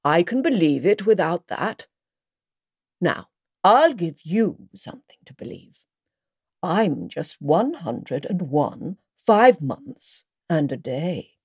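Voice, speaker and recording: A scatterbrained old queen from a storybook, acted by a woman reader, fretful but very sure of herself. The delivery swoops and dawdles, in a mellow, slightly husky tone. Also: fairly low pitch, sing-song, takes it slowly, no echo, studio quality, heavily acted. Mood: decisive. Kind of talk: storybook character voice.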